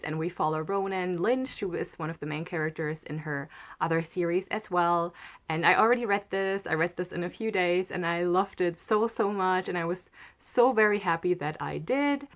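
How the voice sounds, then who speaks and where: A woman with a German accent and German as her first language, chatting enthusiastically as a book vlogger. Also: on the low side. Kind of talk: casual book haul chat.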